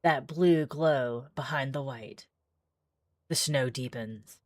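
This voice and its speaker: A woman's voice: very deep voice, takes it slowly, no room sound, studio quality. A woman streaming a visual novel, moving between casual, rambling commentary and dramatic read-aloud narration.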